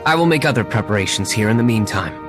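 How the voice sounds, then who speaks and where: An actor playing a composed, shrewd young gentleman winery owner in a video game, formal and measured, with music behind him. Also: lowish voice, animated, lightly acted. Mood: calculating. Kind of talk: video game character acting.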